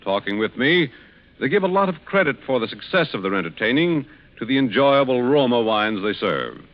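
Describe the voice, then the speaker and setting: A man's voice: mid-range voice, sing-song, moderate pace. A man giving a scripted 1940s radio testimonial in a persuasive, conversational sales manner. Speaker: commercial spokesman. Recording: dull vintage 1940s radio recording.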